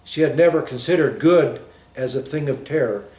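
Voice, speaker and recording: A man's voice: medium pitch, normal speed, warm tone. A man reading a novel aloud as scripted literary narration. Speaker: audiobook reader. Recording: echoey room.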